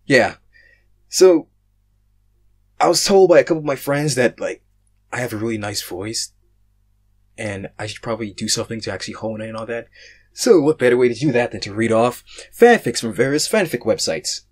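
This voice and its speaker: A young man reading fan fiction aloud, casual in his chat and theatrical in his narration, swinging between hushed and loud. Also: medium pitch, animated, normal speed, long pauses, breathy edge. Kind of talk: casual intro and dramatic story narration.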